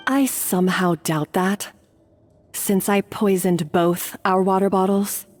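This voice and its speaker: Game accomplice voiced by a woman: mid-pitched, grim and determined, guilt under the resolve, soft music behind. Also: flat delivery, takes it slowly, lightly acted. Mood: resolute. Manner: resolute, bitter, dramatic. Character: guilt-ridden woman accomplice turned defiant, bitter. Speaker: game character. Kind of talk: video game voice acting.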